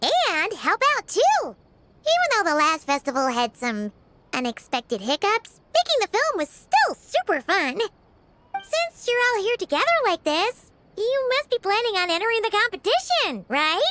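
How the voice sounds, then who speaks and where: A woman voicing a tiny, childlike fairy companion in a video game, perky, curious and blunt, with squeaky, exclamatory speech. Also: huge pitch swings, a little slow, background noise, full character voice. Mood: cheerful.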